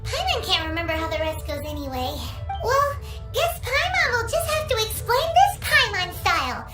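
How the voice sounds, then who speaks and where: A woman voicing a tiny, excitable, self-important female fairy companion in a video game, childlike and chirpy. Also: very high-pitched, moderate pace, distant mic. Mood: playful, proud. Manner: chirpy, refers to self in third person. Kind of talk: animated video game voice acting. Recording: roomy sound with loud game music.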